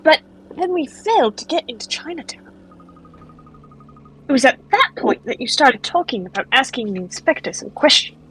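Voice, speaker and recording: Woman voicing an eager, high-pitched boy apprentice. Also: big pitch swings, normal speed, low-quality recording. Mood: excited. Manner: bright-eyed, earnest, inquisitive. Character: Luke, eager, perceptive young boy apprentice. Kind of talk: voiced video game playthrough.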